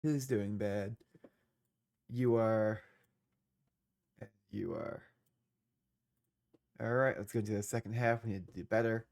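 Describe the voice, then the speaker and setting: A man's voice: lowish voice, fairly even intonation, slow pace, pauses often, darkish tone. A young man with a congested voice, casually narrating a football management game he is streaming, with laid-back, trailing asides. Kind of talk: casual gameplay commentary.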